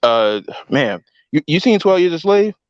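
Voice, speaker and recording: A man's voice: fairly high pitch, big pitch swings, measured pace, smooth, no room sound. Dull-sounding, insistent man in debate. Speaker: talk show panelist. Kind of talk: casual conversational debate.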